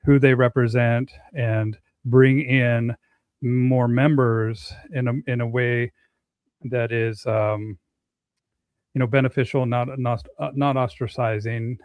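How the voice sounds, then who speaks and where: Earnest halting host, dry close sound. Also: male voice, medium pitch, little pitch movement, a little mellow, clear, resonant tone, no room sound. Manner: earnest, halting, many restarts. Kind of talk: casual conversation.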